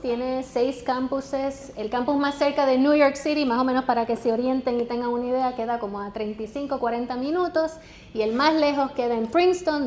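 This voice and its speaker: A woman's voice: high-pitched, darkish tone, a little hiss or hum. A woman in her forties from Puerto Rico with a Puerto Rican Spanish accent, a guest pastor preaching a sermon in a personal, joking way. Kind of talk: sermon to a congregation. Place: church sanctuary. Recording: echoey church hall with faint background.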